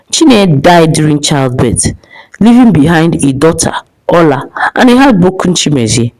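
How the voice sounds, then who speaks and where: A woman's voice: low voice, darkish tone, rough recording. A woman narrating a dramatic folktale as a storyteller, in a scripted style.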